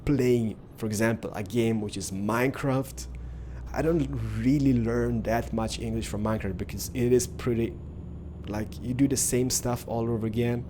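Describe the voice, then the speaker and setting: A man's voice: medium pitch, warm tone, slight background noise. Rambling young man. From Sweden. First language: Swedish. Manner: rambling, with many "like" and "stuff like that". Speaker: gaming youtuber. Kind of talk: casual gameplay commentary.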